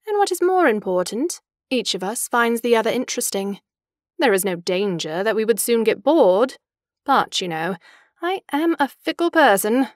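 A woman's voice: fairly high pitch, dry recording, clean studio sound, close to an everyday voice. An actress playing a fickle, imperious young woman in an audio drama, poised and articulate, with a mocking manner. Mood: frank, playful.

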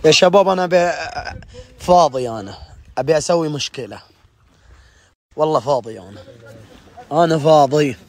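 A man's voice: moderate pace, long pauses. A man filming his friends on a phone at an outdoor desert camp, teasing them in playful, provoking banter. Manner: playful, provoking, bursts into high laughing shouts. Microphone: phone held by the speaker.

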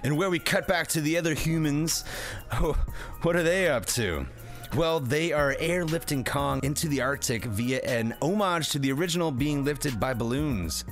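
A man's voice: fairly high pitch, normal speed. Sarcastic male movie-review host, faint music. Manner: slangy, sarcastic. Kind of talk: jokey, profane scripted film recap with banter.

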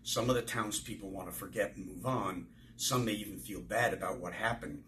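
A bitter butcher from a novel, heavy with grief and anger, read out by an older man. The voice is on the low side, with a little room noise behind it. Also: a little fast, fairly bright tone, lightly acted. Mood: sorrowful warning.

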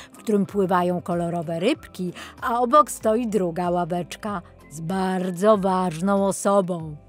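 A friendly old male city guide, voiced by a woman from a children's audio story. He explains proudly and with animation. Soft music runs underneath. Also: medium pitch, close to an everyday voice. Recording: music behind the voice.

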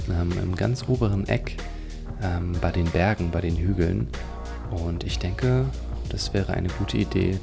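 Very deep, creaky-voiced man commentating. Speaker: let's play host. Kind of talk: leisurely video game commentary.

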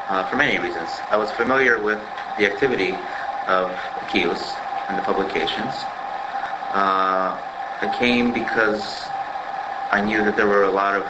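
Deep-voiced man opening a lecture. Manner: friendly and conversational, with frequent fillers. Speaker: guest lecturer. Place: lecture room with wood panelling. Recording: echoey room recording with loud noise.

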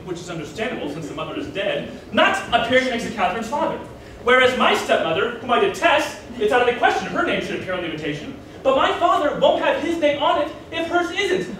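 A man's voice: extremely high pitch, a bit bright, heavily acted. A man playing a frazzled, hard-done-by groom in a theatrical stage monologue, exasperated, melodramatic and emphatic. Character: frazzled, hard-done-by groom ranting about his wedding. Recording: echoey room, distant mic, faint noise.

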